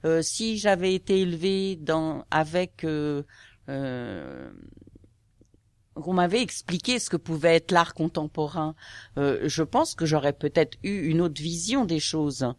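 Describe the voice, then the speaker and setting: A woman's voice: low-pitched, expressive, a little slow, no echo. A woman speaking casually in an interview, hesitant and searching for her words. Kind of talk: casual personal testimony.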